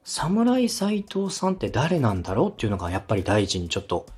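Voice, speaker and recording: An animated, slightly husky man hosts a coaching segment on a podcast. He explains things politely and in clear steps, acting out examples, with faint music underneath. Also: medium pitch, a little fast. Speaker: radio director and podcast host giving feedback. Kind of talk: structured coaching talk on a podcast.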